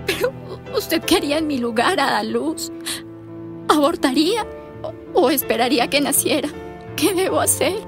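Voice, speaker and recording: A woman's voice: high voice, expressive, normal speed. An actress playing a grieving, hesitant pregnant teenage girl in a radio drama. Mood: desperate. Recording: music behind the voice.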